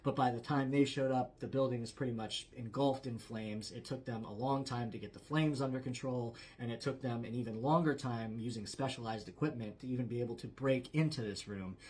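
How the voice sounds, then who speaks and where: A male storyteller speaks in a medium-pitched voice. He narrates a true story as if around a campfire, leaning on key phrases for drama while keeping his wording conversational. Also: a little fast, few pauses, a little mellow. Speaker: storyteller, host.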